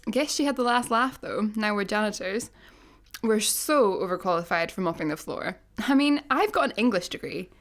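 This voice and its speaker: An actress playing an exasperated, sarcastic space janitor in a comedy radio play, wry and articulate. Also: female voice, medium pitch, expressive, normal speed, lightly acted.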